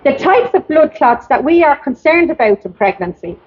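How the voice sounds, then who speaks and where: An Irish woman with a high voice delivers a prepared address as a campaigner. She reads deliberately and with emphasis, at times tripping over the script. The recording is somewhat dull and low in fidelity. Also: big pitch swings. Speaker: campaigner giving a public address. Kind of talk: prepared speech read aloud at a protest. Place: outdoors at a public rally.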